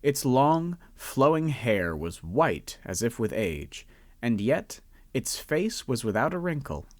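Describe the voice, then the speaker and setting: A man narrating a radio drama in a measured, expressive storytelling manner. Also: medium pitch, no echo.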